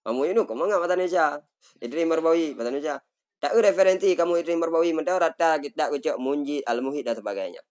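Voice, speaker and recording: A middle-aged man with an Acehnese accent, a religious teacher who reads Arabic phrases slowly and then explains them in a study lesson. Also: a little high, lively intonation.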